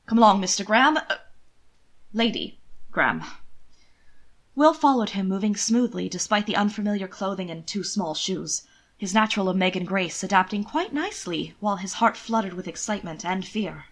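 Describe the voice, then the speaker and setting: A woman narrating a period romance story as an amateur audiobook reader, with expressive, scripted delivery. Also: medium pitch, a little fast. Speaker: amateur fan fiction narrator.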